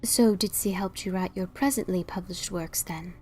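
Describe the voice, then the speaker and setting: A woman playing a calm, wise and mysterious female pony, speaking formally and with gentle, measured probing in an audio drama. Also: on the low side, faint music behind, natural voice. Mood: curious. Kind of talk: audio drama dialogue of fan fiction.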